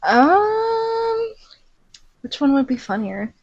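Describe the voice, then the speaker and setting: A woman's voice: very high voice, sing-song, drawn-out words, dry recording, highs cut off. A woman co-reading a story, giggly and a little hesitant, in a casual chat. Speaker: co-reader.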